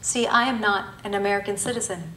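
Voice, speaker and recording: A woman's voice: mid-range voice, sharp tone, distant mic, a little hiss or hum. Emphatic woman in an echoey hall. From Bangladesh, raised in Thailand. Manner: emphatic, rhetorical delivery with pauses between listed words. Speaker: spoken-word performer. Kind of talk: live spoken-word performance. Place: university auditorium before an audience.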